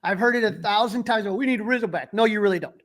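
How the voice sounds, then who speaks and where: A man's voice: high-pitched, fast, crowd noise. A man co-hosting a sports podcast, giving casual but emphatic, opinionated analysis.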